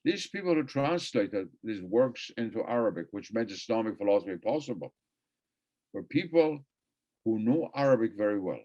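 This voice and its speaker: An older man whose first language is Persian delivers an academic lecture in a rather low voice. He talks in a discursive way and circles back over his own phrases to stress them. The recording sounds dull and lo-fi. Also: sing-song, normal speed, warm tone. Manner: discursive, repeats phrases for emphasis. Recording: muffled lo-fi recording.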